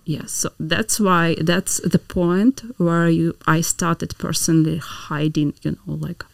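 Hesitant, low-pitched woman guest. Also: flat delivery, slow, creaky, dry recording. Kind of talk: interview conversation.

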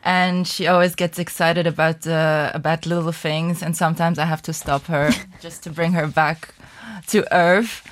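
20s woman, farsi first language, really low voice, hesitant, lots of ums. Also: level tone, normal speed, few pauses. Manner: hesitant, patient, many ums. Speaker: guest, bookshop co-owner.

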